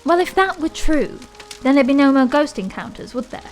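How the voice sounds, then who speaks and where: Prim logical young lady, fairly high voice, arch and pedantic, audio drama, faint music. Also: lively intonation, fairly quick. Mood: challenging. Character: Laura, logical, pedantic, self-assured young woman.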